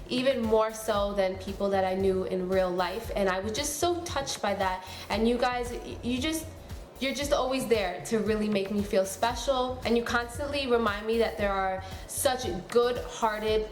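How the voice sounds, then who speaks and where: A woman's voice: mid-range voice, roomy sound. A young woman in her twenties talking to camera as a beauty YouTuber at home, in a casual, chatty way full of "um" and "like". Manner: chatty, many fillers like "um" and "like". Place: room at home.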